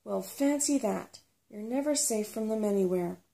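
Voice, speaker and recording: A woman's voice: medium pitch, expressive, moderate pace, gravelly, warm tone. A woman voicing a young female forest brownie in a storybook, grumpy, cheeky and dismissive. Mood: scornful.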